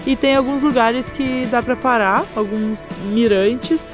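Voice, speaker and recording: A young woman from Brazil narrating a travel vlog in a calm, descriptive way, speaking outdoors at scenic spots. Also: a little high, measured pace. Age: young adult. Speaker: travel vlogger. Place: outdoors on a windy overlook and city streets. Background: background music.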